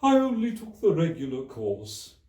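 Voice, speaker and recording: Sorrowful, easily offended old storybook turtle, higher-pitched, very drawn out, in an echoey room. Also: male voice, wildly swooping pitch, darkish tone. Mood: mournful. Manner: slow, drawn-out, sorrowful delivery. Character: mournful, touchy old male turtle, sighing over schooldays. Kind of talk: children's storybook reading. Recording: echoey room, distant microphone.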